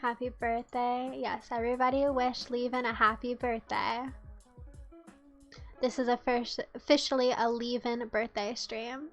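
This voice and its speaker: A woman's voice: fairly high pitch, little pitch movement, measured pace, muffled recording, soft background music. A young woman from the United States streaming live, chatting casually and teasing her chat in a playful way.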